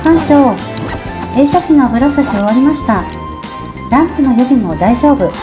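A woman's voice: high voice, expressive, moderate pace, music in the background. A woman playing a cheerful, devoted young projectionist in an amateur radio drama. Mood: bright, dutiful.

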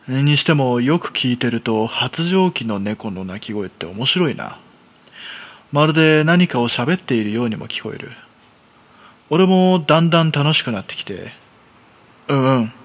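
A self-deprecating shut-in in his thirties, played by a man tells his own horror story in a radio play. His voice is mid-pitched, and he speaks in a rough, colloquial, masculine way with a jittery temper. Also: fairly bright tone. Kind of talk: first-person horror story narration, radio drama.

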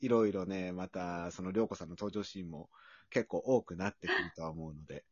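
A man's voice: deep voice, a little fast, breathy edge. A man hosting a casual interview chat as a drama writer, talking in hesitant, filler-filled phrases. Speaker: radio drama writer and host. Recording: roomy, band-limited, dull audio.

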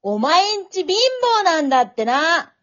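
An amateur actor playing a taunting, loutish little schoolboy bully in a radio drama, rough-mouthed and jeering. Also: high voice, big pitch swings, measured pace, a bit bright, full character voice. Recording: dull, lo-fi audio.

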